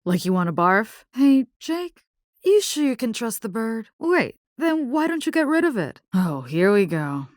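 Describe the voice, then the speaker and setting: A woman's voice: fairly high pitch, big pitch swings, a little slow, no echo, studio quality. A woman playing a spirited, caring young combat engineer in a video game, with a Latin American accent and informal, sassy talk that slips Spanish into English. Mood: teasing, frank.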